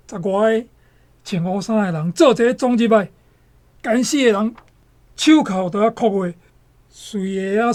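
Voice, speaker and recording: A woman's voice: mid-range voice, darkish tone, natural voice. A woman with a Taiwanese accent performing as a dramatic storyteller in a radio drama.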